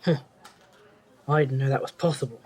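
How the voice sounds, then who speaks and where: An actor playing an arrogant, sarcastic young occultist in an audio drama, speaking with overconfidence. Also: male voice, mid-range voice, normal speed, lightly acted. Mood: dry, suspicious. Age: young adult.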